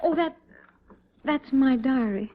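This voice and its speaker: An actress playing a devoted, gently teasing army officer's wife in mid-century dramatic style. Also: female voice, high voice, takes it slowly. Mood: flustered. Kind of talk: mid-20th-century American dramatic acting. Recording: dull old radio-era drama recording.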